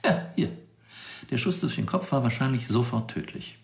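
An actor playing a chatty, pedantic forensic pathologist in a naturalistic radio crime drama, explaining with little asides. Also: male voice, mid-range voice, expressive, normal speed, vocal fry, roomy sound, a little hiss or hum. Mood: matter-of-fact, explanatory.

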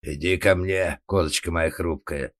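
A man's voice: deep voice, lively intonation, moderate pace, a bit bright, no room sound, clean studio sound, full character voice. Man voicing a cooing, lecherous policeman. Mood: amorous cooing. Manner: doting, cooing, wheedling. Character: lecherous, cooing, henpecked male police officer.

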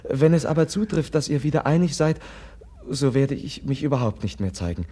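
An actor playing a gentle, compassionate young man in a 1950s German radio drama, speaking earnestly and softly, as if pleading. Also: mid-range voice, expressive, fairly quick, husky. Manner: earnest, soft-spoken and pleading.

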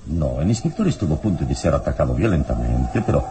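A man playing a calm, wise, mysterious hero investigator in an old radio serial, speaking deliberately and with solemn suspense. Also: very deep voice, exaggerated voice. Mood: grave. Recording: noisy old radio drama recording.

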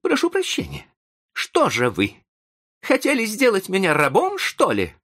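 Storybook role: desperate, agitated young man played by a male narrator, pitched high, wildly animated, exclamatory and pleading. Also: measured pace, gravelly, heavily acted. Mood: angry outburst.

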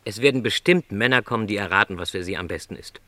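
A man playing a lonely, middle-aged travelling salesman in a radio play, polite, gentle and resigned, reflecting hesitantly. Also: lowish voice, quick pace, no echo. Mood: gentle, doubting. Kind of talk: German radio play dialogue.

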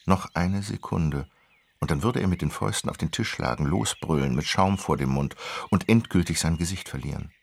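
Deep, gravelly male narrator. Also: fairly quick, a little hiss or hum. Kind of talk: dramatic scripted literary narration in a radio drama.

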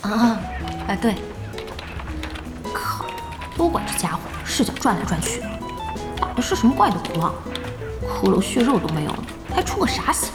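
A feisty female cafe boss in an audio drama. Her high voice swings with lively, blunt impatience, and music plays behind her. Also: moderate pace, pauses often. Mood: exasperated. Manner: blunt, exasperated, expressive. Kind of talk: Chinese audio drama acting.

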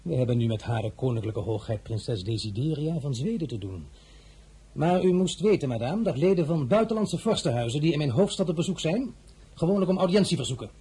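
A bossy sarcastic emperor in a radio play, dark mellow tone but really husky, curt and formal. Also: male voice, fairly high pitch, animated, fairly quick. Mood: sardonic. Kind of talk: Dutch radio drama dialogue.

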